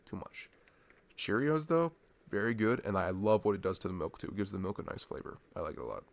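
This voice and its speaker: A man's voice: on the low side, very animated, brisk, gravelly. A man chatting informally and intimately as a boyfriend in an ASMR roleplay, rambling and ranting. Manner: informal ranting, breaking into chuckles and sighs. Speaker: audio roleplay performer playing a boyfriend.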